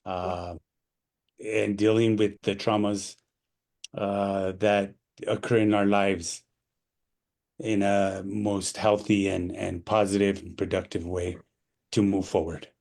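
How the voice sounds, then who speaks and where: A man introduces himself as a coach on a video call. His voice is low and flat, and he speaks slowly, pausing on "uh" between phrases. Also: vocal fry. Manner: measured, with uh pauses. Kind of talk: self-introduction.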